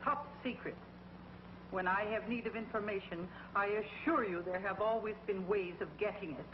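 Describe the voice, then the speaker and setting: An actress playing a cold, scheming witch villain in a 1950s TV drama, speaking with commanding, precise diction over loud music. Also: medium pitch, normal speed, dull audio, noisy background, close to an everyday voice. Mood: silkily menacing.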